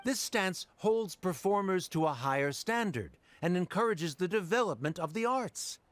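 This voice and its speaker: A man's voice: high voice, expressive, moderate pace. A man voicing a haughty male academic in a video game, formal, pompous and condescending. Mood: lecturing.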